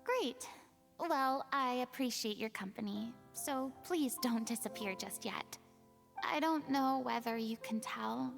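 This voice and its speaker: A haunted, theatrical young woman from an anime game, lonely and confessional. Her voice is high, fraying into creak, with breathy phrase endings and formal, wistful wording. Music plays behind her. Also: unhurried. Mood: polite, composed.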